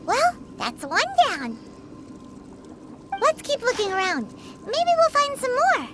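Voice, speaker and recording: Squeaky, excitable little fairy companion. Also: female voice, slow, very noisy, full character voice. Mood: puzzled, chatty. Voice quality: squeaky, childlike. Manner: perky, expressive, cutesy. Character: small excitable floating fairy companion, childlike and nosy. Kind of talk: video game voice acting. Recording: loud game music behind.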